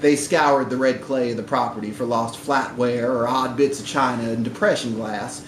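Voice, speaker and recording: A man's voice: medium pitch, fairly even intonation, normal speed. A man reading a short story aloud expressively at a live event, indoors in a bar. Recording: roomy, noisy live bar recording.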